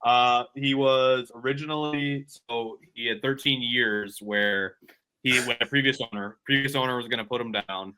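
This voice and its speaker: A man's voice: medium pitch, steady tone, normal speed, sharp tone, smooth, rough recording. A man co-hosting a casual sports podcast, telling rambling stories and laughing often. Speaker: podcast co-host. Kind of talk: casual chat.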